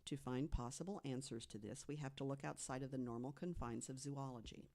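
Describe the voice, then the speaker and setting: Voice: medium pitch, steady tone, a little fast, a little mellow. A podcast panelist reading prepared mystery stories aloud, with conversational asides.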